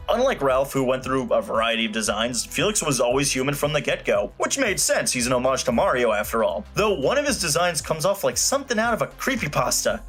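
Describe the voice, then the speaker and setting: A man's voice: mid-range voice, a bit bright. A man reading a scripted video review in an opinionated, colloquial and emphatic way. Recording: music playing behind the voice. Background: background music.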